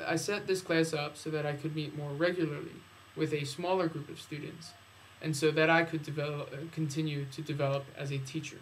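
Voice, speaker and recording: A man's voice: on the high side, fairly bright tone, slight background noise, poor audio. A man who is a native English speaker and teacher, formally introducing his classes in careful, prepared phrasing. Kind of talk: video introduction of own classes, prepared talk.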